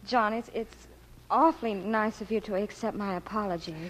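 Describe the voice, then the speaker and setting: A woman's voice: mid-range voice, fairly even intonation, moderate pace, a bit bright, slight background noise, close to an everyday voice, low-quality recording. A woman playing a fiery, dramatic teenage girl, earnest and emphatic in 1940s radio drama style. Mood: contrite, flustered. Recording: old radio broadcast, music behind.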